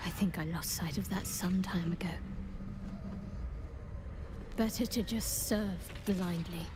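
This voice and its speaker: An actress playing an anguished, defiant young dark priestess in a video game, halting and emotional. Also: female voice, lowish voice, fairly even intonation, noisy background. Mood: torn. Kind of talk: dramatic video game acting.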